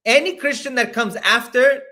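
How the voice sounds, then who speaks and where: A man's voice: very high-pitched, moderate pace, bright, forceful tone. A man co-hosting a casual political talk show, chiming in with short playful remarks. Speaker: co-host.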